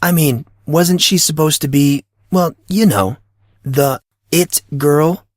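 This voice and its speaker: A man voicing an earnest, naive and stubborn teenage schoolboy who protests in an incredulous, halting way, in an audiobook story. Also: fairly high pitch, no room sound, clean studio sound, pitched above the performer's own voice. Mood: exasperated, baffled.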